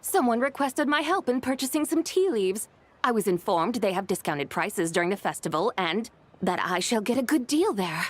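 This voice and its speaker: A woman's voice: medium pitch, expressive, normal speed, natural voice. A woman voicing an imperious, eccentric immortal lady in a video game, speaking in a haughty, formal, theatrical way. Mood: recalling. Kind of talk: English video game voice acting.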